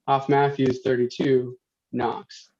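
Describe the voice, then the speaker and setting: A man's voice: medium pitch, flat delivery, takes it slowly, darkish tone, dull audio. A man reading out a script's title and stage directions as plain narration.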